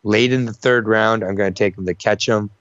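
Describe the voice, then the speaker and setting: A man's voice: on the low side, fairly even intonation, brisk, fairly bright tone, dry recording, muffled recording. A man co-hosting a fight prediction show, chatting casually and rambling with lots of fillers. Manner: rambling, many fillers and restarts. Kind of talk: casual betting breakdown chat.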